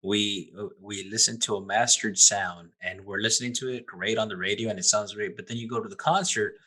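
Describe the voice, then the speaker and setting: A man's voice: fairly low pitch, steady tone, fairly quick, bright, forceful tone, low-quality recording. A man hosting a podcast interview, stammering and repeating words as he starts his sentences. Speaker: podcast host.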